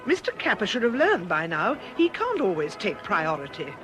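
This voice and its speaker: An actress playing a loyal, observant religious sister in a radio drama, brisk and reassuring. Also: female voice, mid-range voice, huge pitch swings, over music. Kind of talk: British radio drama acting.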